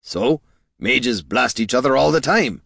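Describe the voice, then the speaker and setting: A male narrator plays a blunt veteran adventurer in a fantasy audiobook. He sounds forthright and exasperated, lively in pitch and recorded dry. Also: a little slow, creaky, slightly rough, dry recording, full character voice. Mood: bemused.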